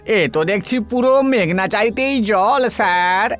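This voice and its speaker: Man acting a high-voiced, timid police constable. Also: measured pace, fairly bright tone, faint music behind. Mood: whining, reluctant. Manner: complaining, deferential. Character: timid, reluctant, deferential male police constable sidekick. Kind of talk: cartoon horror story acting.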